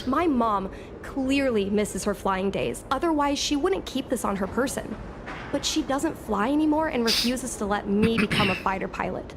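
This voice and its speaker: A woman's voice: fairly high pitch, expressive, normal speed, noisy background. A woman voicing an earnest, wistful young girl in a video game, speaking articulately. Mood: troubled. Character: earnest young girl, a pilot's daughter longing to fly. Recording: game music behind the voice.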